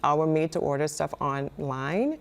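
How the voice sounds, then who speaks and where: Gravelly Trinidadian woman, halting guest. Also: sits low. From Trinidad and Tobago. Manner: earnest, halting with many fillers and restarts. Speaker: knitwear designer guest. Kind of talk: live TV interview.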